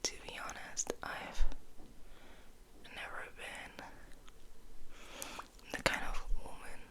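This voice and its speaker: A woman hosting an ASMR video in a breathy, intimate whisper, rambling casually and softly to the viewer. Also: slow.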